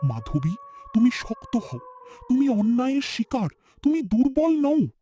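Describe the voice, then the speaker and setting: A man's voice: very high-pitched, very animated, unhurried, dark tone, exaggerated voice. A man playing a brave, earnest young village man in a cartoon horror story. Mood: resolute. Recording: music behind the voice.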